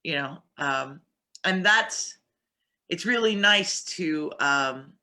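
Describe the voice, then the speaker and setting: A man with a fairly high, strikingly bright voice speaks as a panel guest. He is reflective and hesitant, taking his time to find words. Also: animated, slow pace. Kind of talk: reflective casual discussion.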